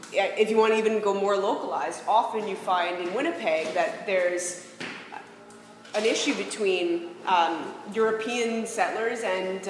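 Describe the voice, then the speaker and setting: Woman speaking in a very echoey hall. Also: mid-range voice. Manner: reflective, poses rhetorical questions. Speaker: speaker presenting ideas on faith and peacemaking. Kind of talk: informal public talk. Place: large reverberant room. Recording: very echoey hall, faint background noise.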